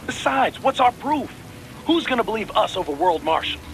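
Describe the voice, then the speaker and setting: A man's voice: high voice, big pitch swings, moderate pace, vocal fry, slight background noise, heavily acted, poor audio. A man acting as an earnest, analytical and sceptical support teammate in a video game. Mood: sceptical, concerned.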